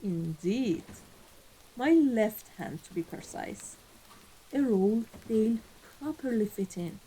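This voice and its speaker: A woman playing an amused, ruthless vampire mafia boss in a scripted ASMR roleplay, speaking in a deliberate, theatrical, condescending way. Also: on the high side, expressive, mellow, a little hiss or hum, rough recording. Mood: cool, commanding. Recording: voice over background music.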